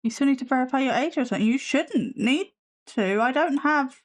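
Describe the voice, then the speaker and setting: A woman's voice: high voice, expressive, a little fast. A woman hosting a live stream, reacting with sassy, skeptical commentary while losing her voice. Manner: sassy, skeptical, swears casually. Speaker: livestream host reacting to videos.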